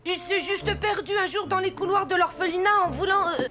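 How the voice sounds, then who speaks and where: A soft-mannered, high-pitched little boy in a children's cartoon. He sounds considerate and a bit hesitant, with faint music behind him. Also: a little fast. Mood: gentle, worried. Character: gentle, considerate orphan boy, loyal friend.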